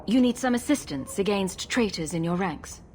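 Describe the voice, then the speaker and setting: A woman's voice: medium pitch, animated, moderate pace, darkish tone, a little hiss or hum, lightly acted. Fantasy game heroine, female actor: mid-range, composed, direct, faint background ambience. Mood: firm, inquiring. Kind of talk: dramatic video game dialogue.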